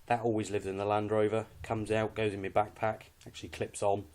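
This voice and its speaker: Man, British accent, lowish voice, casual gear walkthrough, matter-of-fact, slightly hesitant. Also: little pitch movement, a little fast, darkish tone. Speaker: hobbyist presenter showing his kit.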